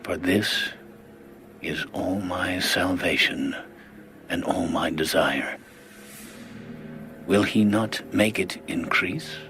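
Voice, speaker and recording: A man narrating scripture in a solemn, measured, dramatic manner. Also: deep voice, sharp tone, soft background music.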